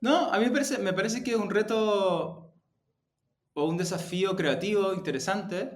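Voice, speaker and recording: A man's voice: high-pitched, normal speed. Chilean man answering in an echoey room. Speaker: interview guest, podcast company executive. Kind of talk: spontaneous interview answers. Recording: roomy, echoing sound.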